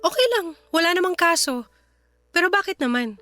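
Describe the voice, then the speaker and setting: A woman's voice: extremely high pitch, expressive, normal speed, bright, forceful tone, soft background music, full character voice. A woman acting a kind, reassuring company boss in a Filipino radio drama, earnest and composed, with a Filipino accent. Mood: concerned.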